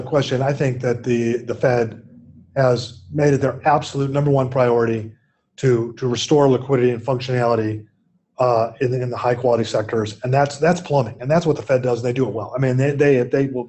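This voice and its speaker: An older man speaks over a video call from a home office, his tone mellow and relaxed. He answers questions in a thoughtful way and often repeats small words while he works out his point. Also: medium pitch, a little fast. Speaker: investment firm executive, guest. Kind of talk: keynote conversation, answering questions.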